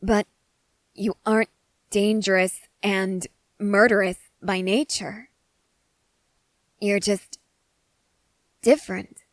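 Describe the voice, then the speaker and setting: A woman playing an eager, excitable scientist soldier fascinated by creatures, speaking earnestly and emphatically to the listener in an ASMR roleplay. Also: mid-range voice, slow, pauses often, dry recording, lightly acted. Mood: earnest reassurance.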